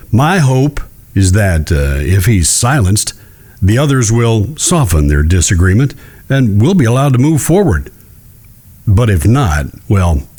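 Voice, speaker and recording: An actor playing a shrewd, courteous judge who speaks in a measured, genteel way in a western audio drama. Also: male voice, lowish voice, mellow. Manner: measured, genteel, deliberate with careful pauses. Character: shrewd, courteous Old West male judge.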